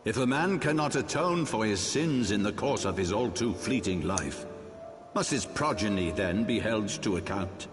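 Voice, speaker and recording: A man's voice: fairly low pitch, lively intonation, normal speed, lightly acted. An actor playing a proud, stern old archbishop and grave father in a fantasy game, speaking in solemn, oratorical, archaic phrases. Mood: grave, questioning. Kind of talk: dramatic fantasy game voice acting. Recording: long hall reverb, music underneath.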